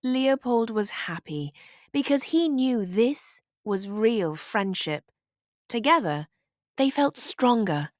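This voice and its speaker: Slow, lively woman storyteller. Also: medium pitch, no echo, studio quality. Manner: expressive, deliberate storytelling. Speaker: storybook narrator. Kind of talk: children's read-aloud narration.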